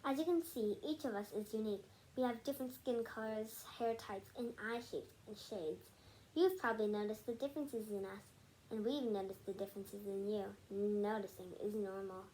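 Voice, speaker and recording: A woman's voice: medium pitch, moderate pace, darkish tone, lightly acted. A woman reading aloud the part of a kind, patient schoolteacher who encourages and explains things to her class. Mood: patient, explaining. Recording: noisy home recording.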